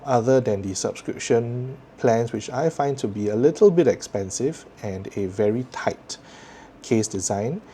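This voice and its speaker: A man with a Singaporean accent speaks in a low, mellow voice as he presents a tech review. His delivery is measured and articulate. Some background noise is audible behind him. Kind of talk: scripted video review to viewers.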